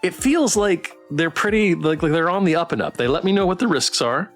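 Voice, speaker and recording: Animated male game streamer, fairly high voice. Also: fairly quick. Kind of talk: casual game playthrough, chatting and narrating. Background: music.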